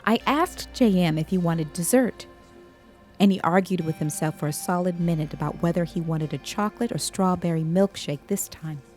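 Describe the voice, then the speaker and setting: An actress playing a tense, caring police investigator, narrating a grim story in measured, literary first person. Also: female voice, fairly low pitch, a little mellow, soft background music, lightly acted. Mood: measured, uneasy. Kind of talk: first-person scripted audio drama narration.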